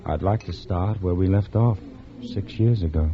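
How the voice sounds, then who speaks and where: An actor playing a courteous, earnest, wounded old flame in a 1940s radio drama. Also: male voice, sits low, normal speed. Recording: muffled old radio recording with hiss.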